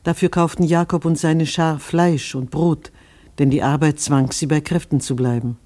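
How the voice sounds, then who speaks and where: An actor playing a solemn chronicler who recites a family history like scripture in a radio play. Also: male voice, on the high side, fairly even intonation, moderate pace, a little mellow, lightly acted. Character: solemn biblical-style chronicler of a settler family.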